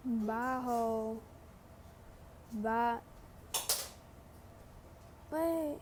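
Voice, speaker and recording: A young woman giving casual, slangy, sweary and teasing commentary as a gaming vlogger, with unhurried delivery. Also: on the high side, a little mellow, slight background noise.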